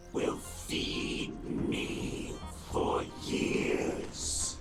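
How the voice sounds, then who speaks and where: Crawlingly slow, rasping flesh-eating monster. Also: male voice. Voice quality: guttural, whispery, unvoiced rasp. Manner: halting, menacing. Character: sinister, ravenous flesh-eating mutant monster. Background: loud music.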